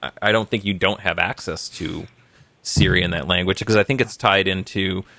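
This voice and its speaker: Very deep, gravelly man thinking aloud. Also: steady tone, normal speed, sharp tone, no echo. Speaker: podcast panelist. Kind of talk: casual tech chat.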